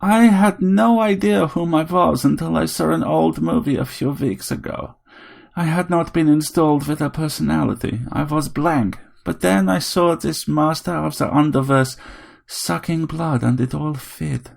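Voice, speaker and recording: A man voicing a small, eager robot who believes he is a vampire, speaking in a put-on Transylvanian accent with a grand, drawn-out manner. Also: fairly high pitch, gravelly, pitched above the performer's own voice.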